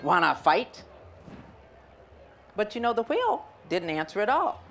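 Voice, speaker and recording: A woman's voice: fairly high pitch, wildly swooping pitch, fairly quick. A middle-aged American woman reading a picture book to children, conversational, explaining and checking with her listeners. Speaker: storyteller. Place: outdoors in a garden. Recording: clear outdoor ambient noise.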